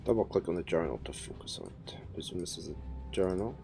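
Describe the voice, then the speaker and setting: A man streaming a puzzle game, chatting and thinking aloud, his voice croaky from a head cold. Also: low voice, expressive, fast. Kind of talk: casual live-stream commentary.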